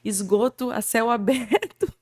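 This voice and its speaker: Brazilian woman host, slightly high voice, chatty and animated. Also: unhurried. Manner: lively, animated storytelling. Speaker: podcast host. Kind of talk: casual interview chat.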